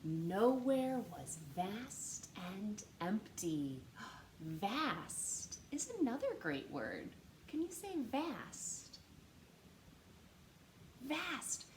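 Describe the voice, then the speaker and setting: A woman reading a story aloud to children in an animated, teacher-like way, stressing words and posing questions. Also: mid-range voice, extremely slow. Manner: expressive teacher-like delivery, dramatic gasps and emphasis. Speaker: storytime reader. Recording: roomy echo with faint background noise.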